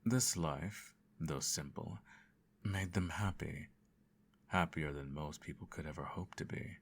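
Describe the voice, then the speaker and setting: A man playing a cold, controlling emperor and adoptive father in an ASMR bedtime roleplay, speaking formally with measured, condescending menace. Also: slight background noise, heavily acted. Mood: solemn storytelling. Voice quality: very deep, creaky.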